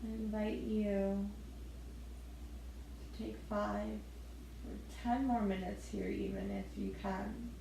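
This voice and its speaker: A woman leading a gentle yoga class, giving soft step-by-step breathing cues with the odd light laugh. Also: medium pitch, lively intonation, slow, creaky, pauses often, heavy reverb, slight background noise, rough recording. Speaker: yoga instructor.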